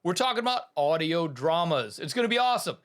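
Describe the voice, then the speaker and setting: A man's voice: on the high side, very animated, fast talker, fairly bright tone. A man hosting a podcast about the business of running a studio, talking casually and enthusiastically, rambling with restarts and calling things awesome. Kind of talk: casual talk to listeners.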